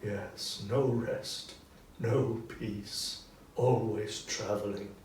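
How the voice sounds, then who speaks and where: A solemn storybook ghost of a dead businessman, acted out by a man, speaks slowly and emphatically. The voice is anguished and full of regret. It rings in a roomy echo, some distance from the mic. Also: fairly low pitch, steady tone, darkish tone, a little hiss or hum. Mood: weary, restless. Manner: slow, solemn, emphatic declamation.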